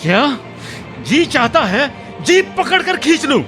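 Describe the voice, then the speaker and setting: High-pitched, hot-tempered village younger brother. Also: male voice, sing-song, slow pace, over music, heavily acted. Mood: angry, threatening. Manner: demanding, quarrelsome. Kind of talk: Hindi radio drama acting.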